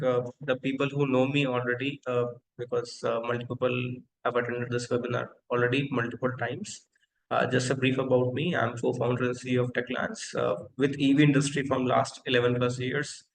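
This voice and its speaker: A man in his thirties teaches a technical webinar over a computer mic. His voice has a gravelly edge and sits at a middle pitch. The room sounds echoey, with faint noise behind him. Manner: interactive, asks the audience questions, many fillers. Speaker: webinar host and company founder. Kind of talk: live online technical lecture.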